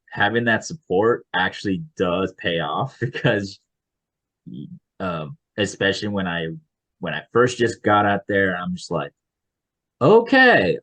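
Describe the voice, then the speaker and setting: A man's voice: sits low, a bit bright. A man from the United States, a graduate student researcher, talking casually on a video call with many restarts and little chuckles. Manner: rambling, frequent restarts, chuckles. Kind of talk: casual panel chat.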